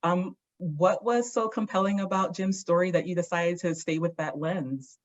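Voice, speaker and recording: A woman's voice: fairly low pitch, normal speed. A woman moderating a conversation as host, heard in dull, lo-fi audio. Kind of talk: moderated interview talk.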